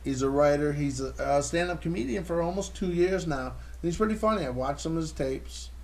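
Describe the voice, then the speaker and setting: A man's voice: a little high, fairly quick, creaky, darkish tone. A man hosting a casual comedy podcast, swearing freely and joking with his guests. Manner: loose, profane, laughing banter. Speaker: podcast host.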